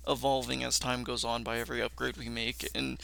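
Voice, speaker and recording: A man's voice: mid-range voice, flat delivery, fairly quick, gravelly, noisy background. A young man hosting a reaction video, casually commenting and reading text aloud.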